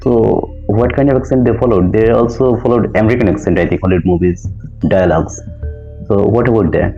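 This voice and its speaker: Polite, low-voiced Indian man learning English. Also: dark tone. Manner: polite, hesitant, many "uh" pauses, calls the tutor ma'am. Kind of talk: casual lesson chat. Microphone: video call. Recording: dull lo-fi call audio with faint background music.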